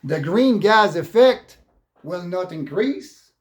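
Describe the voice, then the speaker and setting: A man's voice: high voice, lively intonation. Agitated French Canadian man, echoey room. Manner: agitated, profane, halting English with repetitions. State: upset. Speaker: vlogger. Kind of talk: unscripted political rant.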